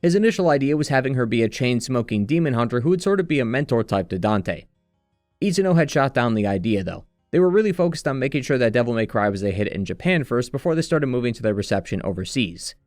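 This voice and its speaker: A man reading scripted narration in a measured, earnest way, like a video essayist walking through a story retrospective. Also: medium pitch, lively intonation, a little mellow, dry recording, studio quality. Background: background music.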